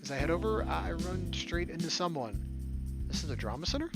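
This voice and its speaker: A male gaming host with a slightly high voice narrates a visual novel. He slips between reading aloud and offhand asides, with loud game music behind him. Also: big pitch swings, a little fast, gravelly. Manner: switches between read dialogue and offhand asides.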